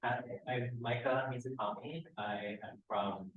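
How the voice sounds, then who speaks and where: A man from Kauai in Hawaii who works in oral history, introducing himself haltingly with many uhs. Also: medium pitch, steady tone. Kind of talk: self-introduction. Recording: lo-fi, echoey room with faint noise.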